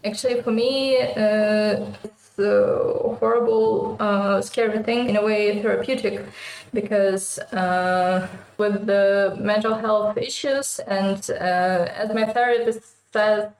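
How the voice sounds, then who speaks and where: A Ukrainian woman with a Ukrainian accent, first language Russian, speaking as an artist guest in an interview, thoughtful and hesitant with many pauses. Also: mid-range voice, fairly even intonation, vocal fry, darkish tone, reverberant, slight background noise, poor audio. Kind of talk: interview conversation.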